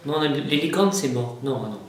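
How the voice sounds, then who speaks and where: A man's voice: mid-range voice, animated, measured pace. A man in his thirties talking in a conversational comedy sketch, indignant and emphatic, as a fussy dad. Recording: very echoey room, faint noise.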